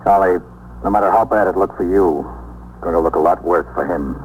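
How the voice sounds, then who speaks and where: An actor playing a firm, businesslike police lieutenant in a 1950s radio drama. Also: male voice, low-pitched, close to an everyday voice. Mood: calm. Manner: stern, measured, authoritative. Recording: muffled, noisy 1950s radio recording.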